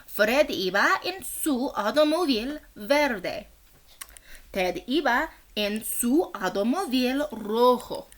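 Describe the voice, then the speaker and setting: A woman reading a bilingual children's picture book aloud as a teacher, in a deliberate, expressive way. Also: on the high side. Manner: deliberate, expressive, acts out short quotes; encouraging asides and questions to young listeners.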